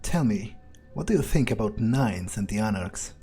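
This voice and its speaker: A cynical, coolly arrogant vampire as a male let's player plays him thinks aloud in a soft, dark-toned voice. The delivery is casual and hesitant, with a husky edge. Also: on the low side, lively intonation, normal speed, faint music behind, exaggerated voice. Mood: detached, skeptical. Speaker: let's player role-playing in character. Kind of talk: in-character game playthrough commentary.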